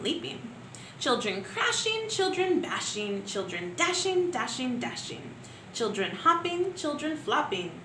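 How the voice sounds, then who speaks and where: A young woman teacher reading poems aloud to young pupils in an expressive sing-song way, with gentle asides between poems, filmed at home on a close webcam. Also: a little high, slight background noise. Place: living room at home. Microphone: webcam at close range. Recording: echoey room with faint ambience.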